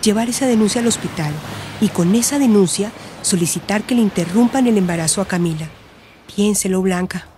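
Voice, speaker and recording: A woman playing a calm, supportive counsellor in a radio drama, gentle, reassuring and explanatory. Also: mid-range voice, fairly quick, lightly acted. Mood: practical.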